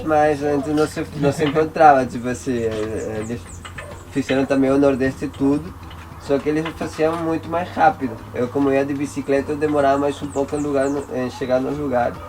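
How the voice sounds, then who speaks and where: A man from Venezuela, speaking natively, telling his story slowly and with hesitations in a documentary interview. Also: medium pitch, fairly even intonation, darkish tone, slight background noise.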